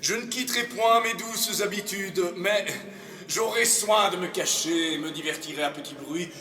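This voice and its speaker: An actor playing a cynical, swaggering aristocratic seducer in a classical French theatre monologue, grandiloquent and swooping between loud boasts and hushed asides. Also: male voice, high-pitched, moderate pace. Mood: cynical, scornful. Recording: echoey, reverberant hall.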